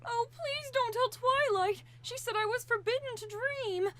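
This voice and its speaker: Casting: woman playing tiny boy dragon, extremely high, earnest, needy and childlike, for cartoon parody. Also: unhurried. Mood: pleading. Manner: pleading, childlike. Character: small, earnest young male dragon, dreamy and needy. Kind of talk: abridged cartoon parody dub.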